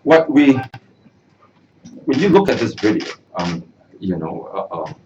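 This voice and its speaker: A man speaking earnestly at a press conference, halting and repeating words as he makes his case. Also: fairly low pitch, big pitch swings, dark tone. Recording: distant mic, dull, noisy room.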